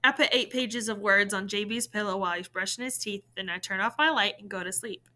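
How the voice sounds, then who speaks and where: A woman reading a novel in verse aloud, in an expressive storytelling style. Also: mid-range voice, brisk.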